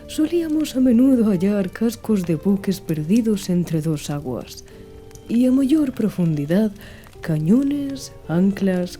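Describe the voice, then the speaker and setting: A woman's voice: medium pitch, mellow. A woman reading scripted audiobook narration.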